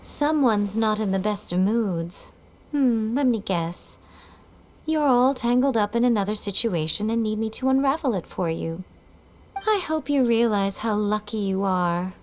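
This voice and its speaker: A woman voicing an elegant, condescending shrine familiar in a video game, sly and knowing, with a measured, teasing manner. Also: mid-range voice, expressive, a little mellow, a little hiss or hum, lightly acted. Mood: haughty teasing. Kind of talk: video game character acting.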